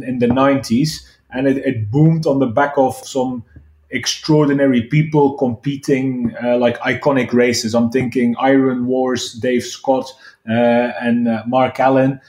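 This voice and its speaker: A man's voice: medium pitch, a little mellow. A middle-aged man from Belgium, a guest in a podcast interview, talking with many restarts and fillers. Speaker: guest, sports event founder. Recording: roomy echo.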